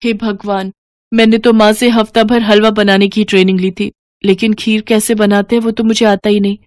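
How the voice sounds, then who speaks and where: A woman's voice: mid-range voice, level tone, normal speed, darkish tone, no echo, studio quality, natural voice. A woman voicing a naive, sweet young new bride, polite and earnest, in a cartoon story. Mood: dismayed. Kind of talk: Hindi cartoon story voice acting.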